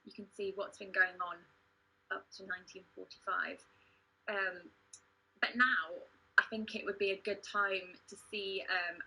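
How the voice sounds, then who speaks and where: A woman hosts in a casual presenting style. Her voice is a little low, very bright and forward, with a husky edge. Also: rough recording. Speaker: livestream host, playwright and actor.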